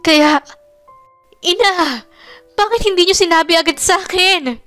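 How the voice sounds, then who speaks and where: A woman's voice: extremely high pitch, a little slow, fairly bright tone, faint music behind, pitched above the performer's own voice. A woman voicing a hurt, distraught young daughter who speaks emotionally and reproachfully in a story drama. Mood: shocked, hurt.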